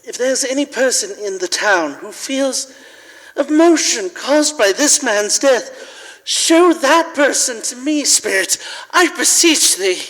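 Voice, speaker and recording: An actor playing a fearful, repentant old miser in a live radio play, halting and pleading in a reverberant church. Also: male voice, very high-pitched, animated, a bit bright, exaggerated voice. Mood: anguished, pleading. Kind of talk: live radio-play acting of Victorian story. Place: live performance in a large church. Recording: reverberant church hall, live stage recording.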